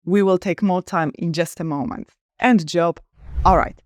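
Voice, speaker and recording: A woman teaching an online English lesson, clear, upbeat and encouraging, slowing down to stress example phrases. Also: on the low side, a little mellow, no room sound, clean studio sound.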